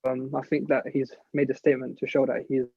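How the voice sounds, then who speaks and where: A man's voice: medium pitch, completely flat delivery, fast, husky, faint music behind. A young man, talking casually as an interview guest on an internet call. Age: 17, late teens. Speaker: guest, young martial artist. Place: video call. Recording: slightly dull internet call audio.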